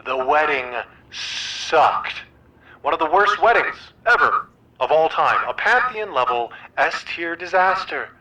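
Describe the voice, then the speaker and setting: An actor playing a dramatic, needy, exasperated geeky best friend in a game, with a theatrical, emphatic, rambling delivery. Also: male voice, fairly high pitch, expressive, a little slow, sharp tone, heavily acted, low-quality recording. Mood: exasperated, defensive.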